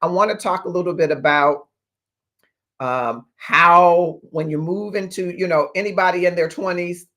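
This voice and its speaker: A man in his fifties hosting a motivational livestream as a life coach, speaking in deliberate, emphatic phrases with pauses. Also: high-pitched, sharp tone. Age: 50s. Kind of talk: motivational livestream talk to viewers.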